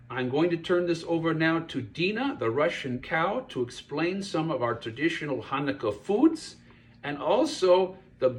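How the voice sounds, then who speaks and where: Guy doing a friendly pig puppet for kids, slow and halting, pitch goes up and down a lot. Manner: hesitant, halting, explanatory. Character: friendly kosher pig puppet teaching a child about Hanukkah. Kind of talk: children's puppet show.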